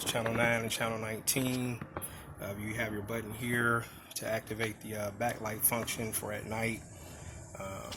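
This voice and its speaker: A man's voice: medium pitch, background noise. A man giving a casual, unscripted hands-on product walkthrough in a plain-spoken, explanatory way. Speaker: vlogger showing a motorcycle radio install.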